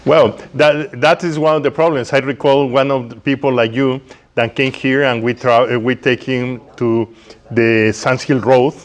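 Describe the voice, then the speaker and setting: A man's voice: mid-range voice, level tone, normal speed. A man who speaks English as a second language hosts a casual live interview in an encouraging way. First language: not English. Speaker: host, interviewer. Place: community tech workspace, live event.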